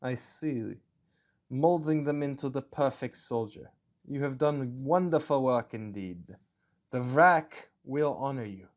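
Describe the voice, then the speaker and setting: A man voicing a formal, menacing Nazi officer with a German accent and a slow, deliberate delivery. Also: medium pitch, lightly acted. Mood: solemn approval.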